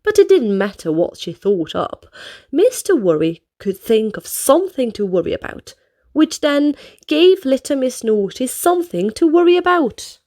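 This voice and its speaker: A woman reading a picture book aloud to young children in an animated, expressive storytelling style. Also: sits high, moderate pace. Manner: animated, addresses young listeners directly.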